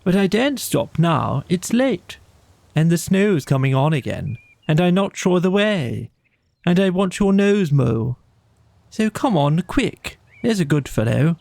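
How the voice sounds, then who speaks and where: A friendly storybook water rat, as a male narrator puts him on. He is brisk and kindly, with the chummy manner of an old-fashioned gentleman. The recording is dry and intimate. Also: high-pitched, sing-song, vocal fry, no echo, pitched above the performer's own voice, heavily acted. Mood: hurried, urging.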